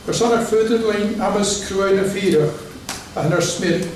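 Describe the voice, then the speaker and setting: A man reading his poetry to an audience, in a measured, declamatory way with brief spoken asides. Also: high-pitched, a little mellow, a little hiss or hum. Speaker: poet. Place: large church hall. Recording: echoey church hall from far off.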